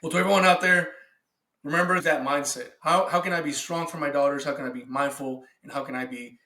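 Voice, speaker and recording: A man's voice: fairly high pitch, fairly even intonation, fast, sharp tone. A man hosting a podcast in a casual, informal and appreciative way. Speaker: podcast host, father. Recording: roomy, echoing sound.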